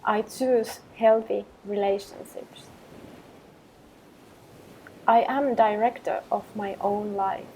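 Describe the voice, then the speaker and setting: A woman leading a guided meditation with affirmations, speaking in a calm, gentle, measured way with long pauses. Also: mid-range voice, slight background noise, low-quality recording.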